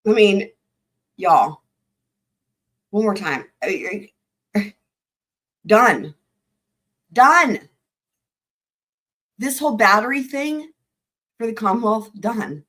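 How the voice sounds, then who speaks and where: A woman hosting a live stream, chatting excitedly and directly to her viewers about courtroom clips. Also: medium pitch, slow pace, pauses often. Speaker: livestream host. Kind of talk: casual live commentary.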